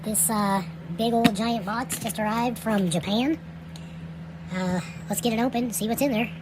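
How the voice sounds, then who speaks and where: A woman's voice: on the high side, normal speed. Chatty woman unboxing with background noise. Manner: relaxed, chatty, with frequent fillers and trailing phrases. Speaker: toy reviewer. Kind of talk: casual unboxing commentary. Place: indoors at night. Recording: home recording with steady background noise.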